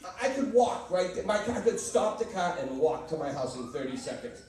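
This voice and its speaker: A man's voice: high voice, sing-song, fairly quick. A man from Boston with a Boston accent doing a stand-up comedy set, telling brash, punchy stories and bantering with the audience. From Boston, USA. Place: comedy club stage. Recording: echoey, distant club recording. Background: crowd laughter and noise.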